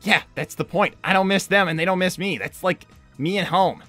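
A man voicing a brash young cartoon hedgehog hero, flustered and defensive, talking casually and haltingly in character. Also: high-pitched, pushed and bright, soft background music. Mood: weary, sarcastic. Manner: halting, casual teenage slacker phrasing. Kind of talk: improvised in-character livestream Q&A.

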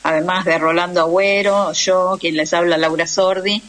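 A woman's voice: fairly low pitch, steady tone, moderate pace, a bit bright. A middle-aged woman with an Argentine accent, speaking conversationally as a guest on a radio show. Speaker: guest actress and radio drama teacher.

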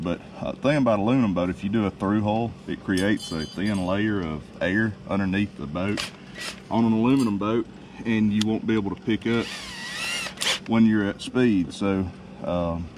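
Deep-voiced man explaining outdoors. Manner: relaxed folksy explaining with many fillers. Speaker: DIY video host. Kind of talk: casual how-to demonstration. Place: outdoors in a driveway. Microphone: camera mic. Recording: distant outdoor camera mic with noise.

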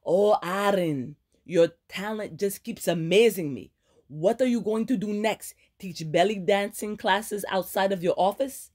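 Fiery woman doctor, pitched up and loud, scolding sarcasm, read by a narrator. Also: unhurried, close to an everyday voice. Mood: sarcastic. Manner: exasperated, sarcastic, lively scolding.

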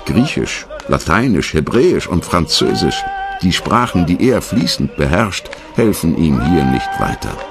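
A man reading a scripted documentary narration in a measured, dramatic storytelling manner. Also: low-pitched, sing-song, gravelly.

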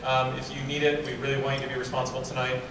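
A man making casual, halting announcements to a crowd in a large auditorium. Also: mid-range voice, monotone, background noise. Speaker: event organizer making announcements. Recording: echoey hall from far off.

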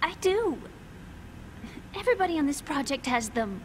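A frightened, high-voiced young captive. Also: female voice, big pitch swings, a little hiss or hum. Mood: suspicious. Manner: fearful, emotional, halting. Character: frightened, distrustful young woman, a bitter captive. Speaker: voice actor in a video game. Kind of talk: dramatic game cutscene acting.

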